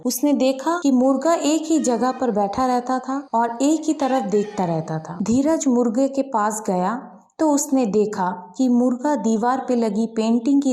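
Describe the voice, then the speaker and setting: A woman's voice: fairly high pitch, dark tone. A woman reading a light folk tale as a scripted story narration.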